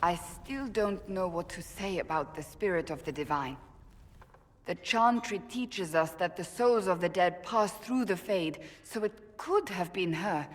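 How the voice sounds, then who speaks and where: Grave warrior in a reverberant hall. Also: female voice, on the low side, lively intonation. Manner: formal, grave, deliberate wording. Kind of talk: dramatic video game acting.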